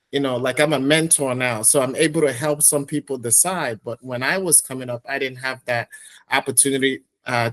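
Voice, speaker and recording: A middle-aged man from Nigeria, a podcast guest telling his career story in a reflective, measured way with many fillers. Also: mid-range voice, a bit bright. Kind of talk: conversational interview storytelling.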